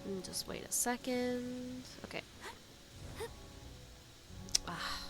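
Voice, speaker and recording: A woman's voice: a little high, very slow, over music, poor audio. A woman giving casual commentary as she plays a video game and reacts to its story. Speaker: gamer reacting to a story quest.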